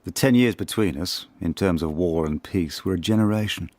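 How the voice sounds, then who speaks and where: An actor playing an ageing spy in a radio drama monologue, reflective and confessional, with measured, intimate, literary delivery. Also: male voice, low voice, darkish tone, no room sound, natural voice. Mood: wistful, reflective. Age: older.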